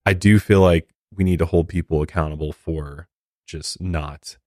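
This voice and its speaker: An American man hosting a true crime podcast discussion, talking in a measured, conversational, hedging way. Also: low-pitched, fairly even intonation, mellow, no echo, clean studio sound. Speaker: podcast host.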